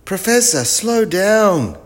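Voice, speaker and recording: A man voicing a lively, anxious schoolchild in a storybook adventure, calling out earnestly. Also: medium pitch, a little slow, husky, in a large hall, voice pushed up. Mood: urgent.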